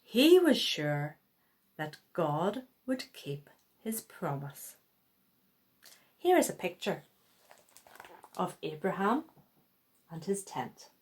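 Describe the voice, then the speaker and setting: A woman's voice: on the low side, big pitch swings, takes it slowly, pauses often, a little mellow. A woman reading a children's Bible story aloud, expressively and with acted-out dialogue.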